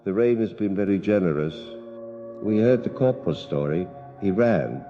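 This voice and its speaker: An actor playing a calm, courteous, menacing zombie who speaks for the horde in an audio horror drama. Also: male voice, low-pitched, moderate pace, faint music behind. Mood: calm menace. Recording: dark muffled line with long reverb.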